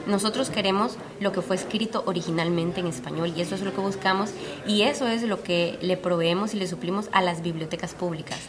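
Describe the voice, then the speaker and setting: A woman from Honduras speaks in a somewhat low voice, explaining her publishing work in an earnest, steady way. A busy fair crowd chatters loudly behind her, and the talk is a relaxed interview. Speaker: publisher, guest. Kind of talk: conversational interview. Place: book fair.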